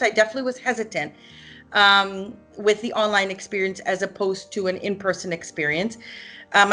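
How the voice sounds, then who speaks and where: Woman in her forties from Hungary, parent testimonial, halting with frequent ums, faint music behind. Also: medium pitch, fairly bright tone. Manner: hesitant, many ums and restarts. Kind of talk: unscripted testimonial.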